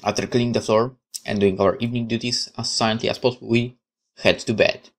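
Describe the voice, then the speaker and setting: A woman's voice: a bass voice, fairly even intonation, brisk. A woman reading a visual novel aloud expressively, with casual commentary as she plays.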